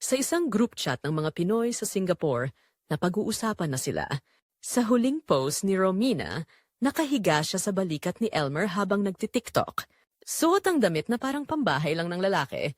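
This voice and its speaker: Woman, Filipino accent, dramatic scripted storytelling, very animated intonation, dry recording. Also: mid-range voice, moderate pace, dry recording. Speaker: narrator.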